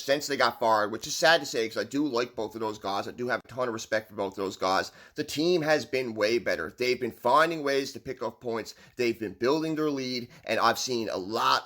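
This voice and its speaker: A man's voice: medium pitch, a bit bright. A man with a Newfoundland accent, giving upbeat, rambling hockey commentary on his own channel. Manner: earnest, upbeat, rambling with restarts. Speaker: hockey vlogger.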